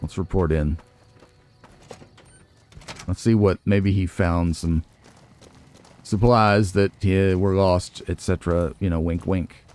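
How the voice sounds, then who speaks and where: Low, mellow-voiced man musing over gameplay. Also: steady tone, takes it slowly, long pauses. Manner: musing, thinking aloud. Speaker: let's player. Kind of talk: casual gameplay commentary.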